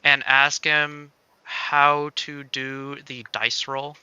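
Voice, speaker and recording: Male game developer, casual livestream co-host. Very bright, forward voice with a clean, smooth edge. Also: medium pitch, flat delivery, extremely slow, dry recording. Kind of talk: casual demo livestream chat.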